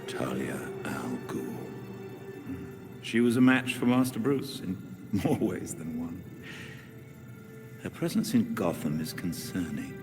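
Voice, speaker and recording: An actor playing a caring, formal elderly butler in a video game, speaking in a measured way. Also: male voice, low-pitched, lively intonation. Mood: wry, concerned. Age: older. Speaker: butler and mentor. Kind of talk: video game cutscene acting. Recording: long reverb, music behind.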